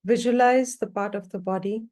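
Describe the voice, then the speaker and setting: A woman leading a guided meditation as a teacher, giving short, calm, measured instructions with long pauses between them. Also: medium pitch.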